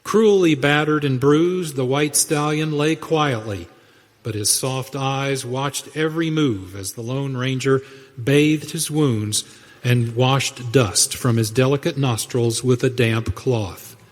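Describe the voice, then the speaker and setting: A man's voice: medium pitch, takes it slowly. A man narrating a western radio drama in a scripted, dramatic storytelling style. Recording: reverberant hall sound.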